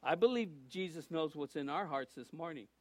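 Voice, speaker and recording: A man's voice: on the high side, lively intonation, moderate pace, darkish tone, no echo. A man, giving a loose, folksy sermon full of restarts and small jokes. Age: 60s. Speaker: preacher. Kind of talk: informal sermon.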